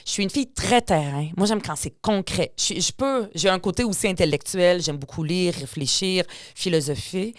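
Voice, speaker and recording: A low-pitched woman in her forties with a Quebec French accent, raised in Lebanon with Palestinian Arabic as her first language. She tells her story candidly and with energy, often restarting her sentences. Her voice sits close and dry on the microphone. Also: expressive, moderate pace, hardly any pauses, dry recording. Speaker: guest politician. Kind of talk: casual conversational interview.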